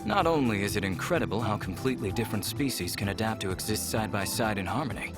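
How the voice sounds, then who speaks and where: An actor playing a polite, earnest, travel-weary alien scientist in a visual novel, speaking in a measured, explanatory way. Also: male voice, lowish voice, expressive, music in the background, natural voice. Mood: earnest, informative. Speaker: game character.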